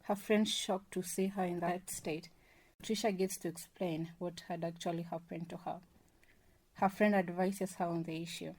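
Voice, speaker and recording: A woman's voice: lowish voice, steady tone, moderate pace, noisy background. A woman reading scripted narration as the narrator of a radio drama. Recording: music and crowd sounds behind.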